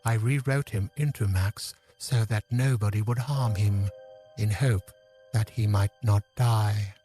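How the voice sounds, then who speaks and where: Man playing a slow, solemn, regretful old man. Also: fairly low pitch, dark tone, faint music behind, lightly acted. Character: lonely, regretful old man turned celestial time manipulator. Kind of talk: scripted audio drama acting.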